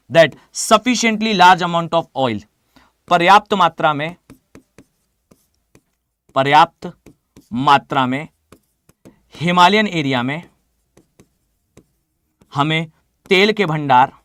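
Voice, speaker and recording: A hoarse man with an Indian accent teaching a live online class, often asking students to reply. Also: high-pitched, fairly bright tone, no echo. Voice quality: mixes Hindi with English phrases. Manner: addresses students directly, asks them to reply.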